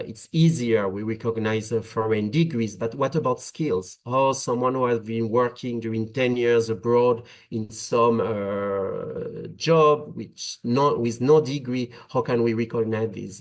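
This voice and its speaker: A man with a French accent gives a policy talk over a video call. He speaks in measured phrases broken by many "uh" pauses. His voice sits at an ordinary middle pitch. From France. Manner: hesitant, frequent "uh" fillers, measured phrasing. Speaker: webinar panelist on migration policy. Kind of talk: policy talk, webinar presentation.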